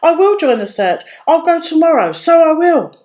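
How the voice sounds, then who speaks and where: A woman's voice: very high voice, big pitch swings, normal speed, darkish tone, voice pushed up. A woman voicing a kindly, eager old peasant woman in a storybook folktale, hospitable and exclamatory. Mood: excited, determined.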